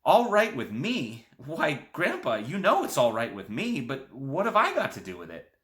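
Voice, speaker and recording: Eager young country boy, higher and brighter voice, as a male reader puts him on. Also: animated, moderate pace. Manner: eager, astonished.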